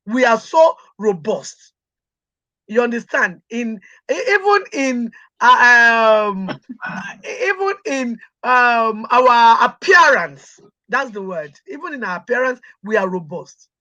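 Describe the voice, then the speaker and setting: Emphatic high bright Nigerian woman. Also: very animated, slow pace, muffled recording. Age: middle-aged. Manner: emphatic, proud, repeats for stress. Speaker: guest. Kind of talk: interview conversation.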